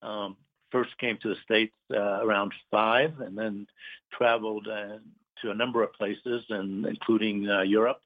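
A middle-aged man from Puerto Rico talks casually as an interview guest. His voice sits low, and he pauses and fills often as he searches for words. The sound is thin and tinny, like a phone call. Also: fairly even intonation. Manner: hesitant, many pauses and fillers. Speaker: guest, business owner.

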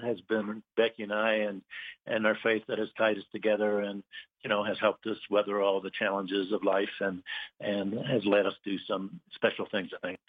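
A middle-aged man from Puerto Rico talks casually as an interview guest. His voice sits low, and he pauses and fills often as he searches for words. The sound is thin and tinny, like a phone call. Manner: hesitant, many pauses and fillers. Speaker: guest, business owner.

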